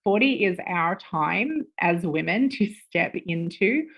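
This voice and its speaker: Animated, laughing woman in her forties. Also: on the low side, slow pace, breathy edge. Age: 40s. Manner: animated, laughing, swears casually. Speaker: podcast guest. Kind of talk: casual interview chat.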